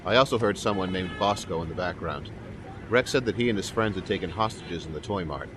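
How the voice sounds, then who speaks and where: A man plays a police sergeant in an audio drama, deferential and sheepish. He speaks haltingly in a fairly low voice, with faint traffic noise around him. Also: natural voice. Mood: dutiful. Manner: hesitant, halting. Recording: faint outdoor street and vehicle noise.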